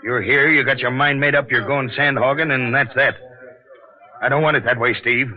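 A man's voice: medium pitch, fairly even intonation, moderate pace, lightly acted. Actor as weary working-class father, tinny old radio. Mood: resigned, regretful. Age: middle-aged. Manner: plain-spoken working-class talk. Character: weary, protective middle-aged father, veteran tunnel laborer. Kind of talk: 1940s radio drama acting. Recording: tinny 1940s radio broadcast, music.

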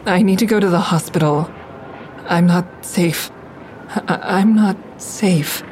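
An actress playing a frightened, guarded, fragile woman in a naturalistic audio drama, halting and repeating herself. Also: lowish voice, darkish tone, poor audio. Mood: frightened, pleading. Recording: clear outdoor traffic and vehicle noise. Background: vehicle ambience.

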